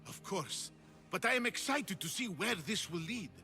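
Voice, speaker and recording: An actor playing an excitable, flowery artist of ancient Greece in a video game, theatrical and effusive. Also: male voice, sits high, moderate pace, vocal fry, faint music behind, exaggerated voice. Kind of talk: video game cutscene acting.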